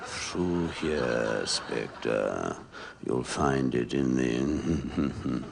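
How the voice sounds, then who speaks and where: Ghoulish radio horror host, ominous and gravelly, drawn-out delivery, vintage noisy sound. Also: male voice, very deep voice, exaggerated voice. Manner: slow, ominous, melodramatic. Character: sinister ghoulish host of a suspense theatre. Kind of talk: 1960s radio comedy horror parody. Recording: noisy 1960s radio broadcast.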